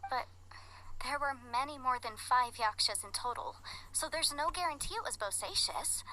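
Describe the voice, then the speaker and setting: Casting: inquisitive, courteous young adventurer for a game, lightly high voice, faint noise behind. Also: female voice, animated, sharp tone, poor audio. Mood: concerned questioning. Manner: measured, inquisitive. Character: polite earnest young woman traveler, thoughtful and inquisitive. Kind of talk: video game voice acting.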